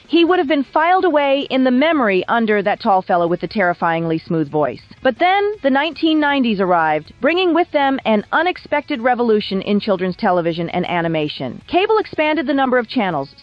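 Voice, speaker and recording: A woman's voice: high voice, dry recording, dull audio. A woman reading scripted documentary narration in a polished, wry storytelling manner over music. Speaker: video essay narrator.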